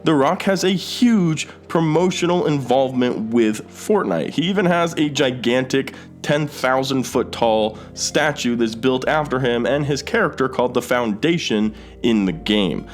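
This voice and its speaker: A man's voice: mid-range voice, lively intonation, a little slow, hardly any pauses. A man hosting a YouTube news commentary, speaking emphatically and directly to viewers. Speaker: YouTube host. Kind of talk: scripted-sounding movie and gaming news commentary to camera. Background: background music.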